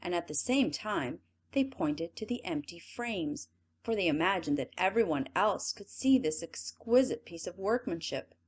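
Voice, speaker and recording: A woman reading a classic fairy tale aloud as an audiobook narrator, in an expressive storytelling manner. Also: fairly low pitch, moderate pace, breathy edge. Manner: expressive, lively storytelling read.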